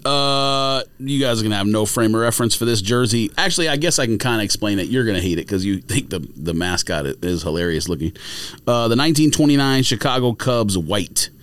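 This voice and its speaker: A man's voice: lowish voice, lively intonation, fairly quick, fairly bright tone, clean tone, dry recording. A man co-hosting a casual sports podcast, laughing often and arguing in a teasing way. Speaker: podcast co-host.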